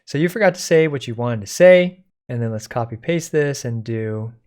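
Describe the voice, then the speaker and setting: A man giving a casual software code-along, thinking aloud informally as he types. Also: medium pitch, animated, moderate pace. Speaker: software tutorial instructor.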